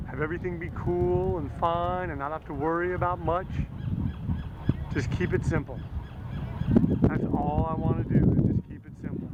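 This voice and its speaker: A man playing a dejected broadcaster who has just lost his job, talking to himself haltingly outdoors with his voice going hoarse, over clear street noise. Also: a little high, highs cut off, lightly acted. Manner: halting, repeats himself, talks to himself. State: losing his voice. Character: dejected male broadcaster in midlife, self-doubting. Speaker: actor playing a fired sports broadcaster. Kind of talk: improvised-sounding dramatic monologue.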